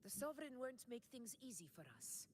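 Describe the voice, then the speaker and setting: A woman's voice: fairly high pitch, expressive, brisk, a little mellow, slight background noise, close to an everyday voice. A woman playing a proud, resolute female warrior leader in a dramatic video game, speaking in a stately, declamatory way. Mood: brisk, commanding.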